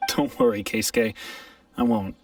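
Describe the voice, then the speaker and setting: A man's voice: lowish voice, measured pace, distant mic, noisy background. A male actor playing an anxious, earnest man in a video game, speaking hesitantly. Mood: grateful, hopeful. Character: startled, anxious man grateful to a friend. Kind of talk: scripted video game voice acting.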